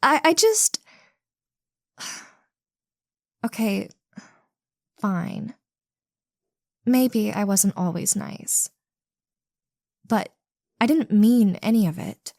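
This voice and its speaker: A smug, popular teenage cheerleader, acted by a woman for a romantic audio roleplay. She is sassy and quick to defend herself, yet draws her words out at an unhurried pace. The sound is very dry. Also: mid-range voice, animated, a little mellow, no echo, full character voice. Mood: defensive, then sheepish. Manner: sassy, pushy.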